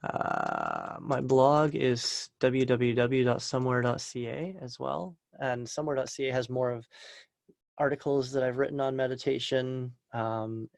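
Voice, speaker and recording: A calm, soft-spoken man teaching meditation, guiding a session and then answering questions from an online group. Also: mid-range voice, a little slow, gravelly, no room sound. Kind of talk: guided meditation and live Q&A webinar. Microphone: video call.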